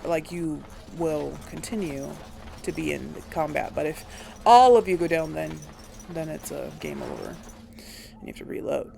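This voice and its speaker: A woman with a fairly low, creaky voice talks her way through a video game in a slow, musing fashion. She hosts casually, halting and thinking out loud to herself, with some game ambience behind her. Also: slight background noise. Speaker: host of a video game playthrough. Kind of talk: casual gameplay commentary, thinking aloud.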